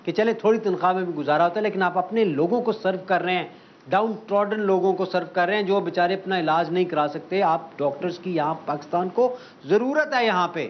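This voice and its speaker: A man hosting a satirical TV show, smooth and teasing as he sets up jokes. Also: high voice, fairly quick. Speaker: show host. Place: TV studio. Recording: slightly dull TV broadcast sound, faint hiss.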